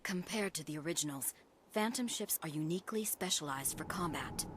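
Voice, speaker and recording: A woman playing a cool, knowing, condescending space-force insider in a video game, speaking in a measured, superior way. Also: sits low, expressive, a little hiss or hum. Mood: grave, explanatory. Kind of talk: dramatic video game acting. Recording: loud game music behind the voice.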